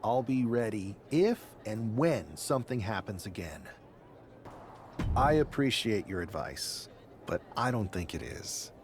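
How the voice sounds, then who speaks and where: Sardonic wrestler with lively pitch. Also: male voice, unhurried, a little hiss or hum. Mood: stoic. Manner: dry, sarcastic. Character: sardonic, stubborn, vengeful male rookie pro wrestler. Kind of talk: game cut-scene dialogue.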